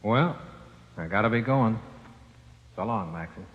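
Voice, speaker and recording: A weary, matter-of-fact convict in 1940s radio drama. The voice is lowish and slow, grimly resigned, on a dull old recording with a long reverberant tail. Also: male voice, animated.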